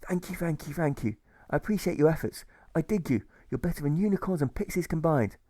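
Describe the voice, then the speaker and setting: A man acts out a storybook freed prisoner, a gentle and saintly man. He speaks earnestly and soothingly in a lifted pitch, recorded dry. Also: animated, brisk, no room sound. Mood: grateful.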